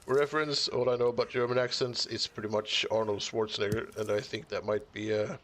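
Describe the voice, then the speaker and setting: A man's voice: on the low side, little pitch movement, a little fast, a bit bright, a little hiss or hum. A man streaming a building game, chatting casually as he works and often chuckling, musing half to himself. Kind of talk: casual live-stream commentary while building.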